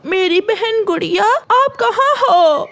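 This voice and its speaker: A woman's voice: very high-pitched, lively intonation, takes it slowly, fairly bright tone, full character voice. A woman voicing an innocent young boy bird in a cartoon, a devoted brother who pleads plaintively. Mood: desperate searching.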